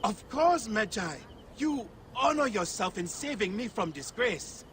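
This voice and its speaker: A theatrical, flustered festival organizer for a game set in ancient Egypt. The voice is high and forceful, grandiose in delivery, with slight ambience behind. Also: male voice, very animated, unhurried, slight background noise, full character voice. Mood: flustered, effusive. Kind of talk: dramatic video game acting.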